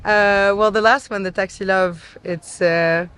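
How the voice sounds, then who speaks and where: A woman's voice: medium pitch, steady tone, measured pace, fairly bright tone, smooth. A woman from France, a DJ and singer, talking easily in a casual interview and laughing often. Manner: easygoing, chatty, laughs often. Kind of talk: casual chat.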